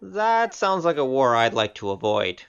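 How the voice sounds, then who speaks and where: A man's voice: medium pitch, very animated, normal speed, vocal fry, fairly bright tone, dull audio. A man playing an easygoing, teasing gambler hero with a flippant manner in an audio drama. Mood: wry.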